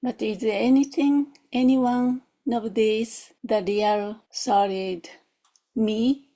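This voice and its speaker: A woman's voice: fairly high pitch, steady tone, slow, dark tone. A woman guides a meditation as a teacher, reflective and questioning, in short spaced phrases. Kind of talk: guided meditation, gentle instruction.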